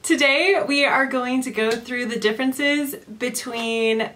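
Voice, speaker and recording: A woman in her thirties with a Californian accent, her voice sitting a little high. She runs a casual chat, asking questions with an easy, giggly curiosity. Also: slow, a bit bright, a little hiss or hum. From Southern California. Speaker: host.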